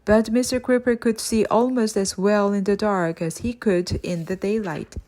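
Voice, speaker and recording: A woman's voice: medium pitch, steady tone, moderate pace, darkish tone. A woman narrating a children's novel as an audiobook, acting out the dialogue as she goes. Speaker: audiobook reader. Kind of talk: scripted children's story narration with acted dialogue.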